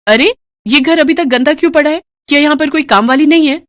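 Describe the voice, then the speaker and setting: Storybook villainess: woman acting a bossy young daughter-in-law, high-pitched, sharp-tongued, commanding and greedy. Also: quick pace, no room sound, clean studio sound, close to an everyday voice. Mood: haughty, demanding. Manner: haughty, scolding, commanding.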